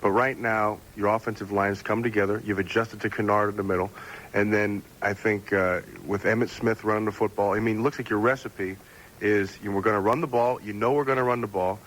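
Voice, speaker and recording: A man with a lowish voice speaks as a football analyst conducting an interview. His delivery is conversational and unscripted. The AM radio recording has slight noise. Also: fairly even intonation, fairly quick, a bit bright, poor audio.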